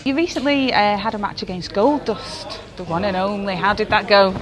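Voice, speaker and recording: A woman's voice: mid-range voice, moderate pace, loud music behind the voice. A woman interviewing guests in a light, casual style.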